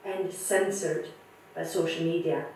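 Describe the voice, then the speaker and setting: A woman's voice: on the low side, fairly even intonation, normal speed, crowd noise. A woman giving an earnest personal statement. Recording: distant, very echoey, faint ambience.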